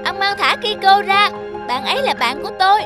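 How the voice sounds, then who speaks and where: A woman's voice: extremely high pitch, expressive, fairly quick, over music. A woman voicing a cautious, loyal young mug in a cartoon, earnest and childlike. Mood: brave urgency.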